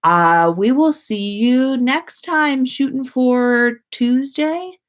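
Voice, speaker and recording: A woman hosting a story-time livestream, speaking in an expressive, informal way as she reads and chats. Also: high voice, slow. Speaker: host of a fan fiction story-time livestream. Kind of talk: expressive story reading and casual livestream chat.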